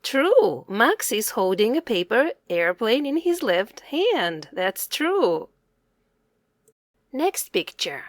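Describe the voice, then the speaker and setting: A woman's voice: a little high, big pitch swings, takes it slowly, no room sound, studio quality. A woman reading a children's story aloud in simple, separated phrases with a teacherly manner.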